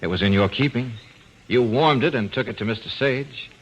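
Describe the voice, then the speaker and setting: An actor playing an easygoing, probing private detective in an old-time radio mystery. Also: male voice, mid-range voice, normal speed, slightly rough, lightly acted. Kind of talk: 1940s radio drama acting. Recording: dull, noisy old radio recording.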